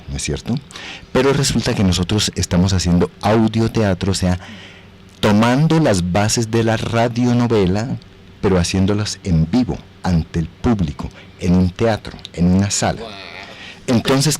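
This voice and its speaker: Low-voiced Colombian man, deliberate and emphatic. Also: darkish tone. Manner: deliberate, emphatic, with rhetorical questions and dropped asides. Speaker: guest, radio and theatre actor. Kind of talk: radio interview, animated explaining.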